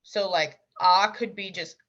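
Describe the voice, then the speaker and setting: A young woman being interviewed, talking casually and bluntly, with emphatic quick asides. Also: on the low side, steady tone, a bit bright, highs cut off. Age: young adult. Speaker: interview guest, language learner.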